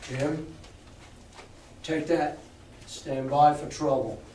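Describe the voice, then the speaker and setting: A man's voice: very slow, slight background noise. A man voicing a crafty pirate captain who gives orders with cool insolence. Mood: commanding. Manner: cool, insolent, low and steady. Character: cool, scheming one-legged male pirate captain. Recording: echoey room from far off.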